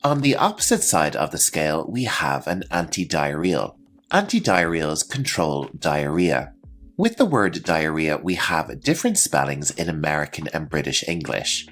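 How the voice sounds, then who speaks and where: A man presenting a scripted English vocabulary lesson, explaining terms in a clear, instructional manner. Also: very deep voice, big pitch swings, normal speed, a bit bright. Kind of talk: scripted educational narration with example sentences.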